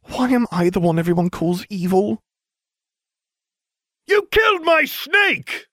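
A man's voice: high-pitched, fairly quick, mellow, no room sound, clean studio sound, pitched above the performer's own voice. A man voicing a dramatic, self-absorbed teenage boy wizard in a storybook reading, whiny and theatrical. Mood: whining. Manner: whiny and theatrical, then smugly explaining.

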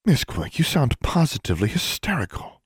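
A man's voice: low voice, steady tone, fairly quick, dry recording, studio quality. Man voicing a cold, disdainful female dean. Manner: cold, clipped, authoritative.

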